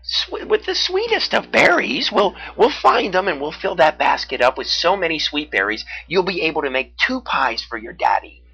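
Narrator doing a chirpy beaver, high and bouncy, husky, lo-fi. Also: big pitch swings, moderate pace, hardly any pauses, bright, forceful tone, heavily acted. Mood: eager. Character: eager, helpful beaver. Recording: dull lo-fi.

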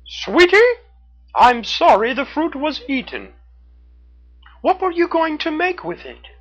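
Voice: sits high, big pitch swings, slow, pitched above the performer's own voice, full character voice. Red, a storyteller doing a sweet motherly raccoon. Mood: consoling. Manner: soothing, coaxing. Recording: dull lo-fi.

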